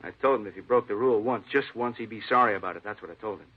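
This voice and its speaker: Tinny, lowish-voiced fussy store boss. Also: male voice, very fast, slightly rough. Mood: disapproving, indignant. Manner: officious, emphatic, repeats himself. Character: fussy, disapproving male store boss, talkative with police. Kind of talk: old-time radio drama acting. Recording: tinny, narrow-band old radio recording.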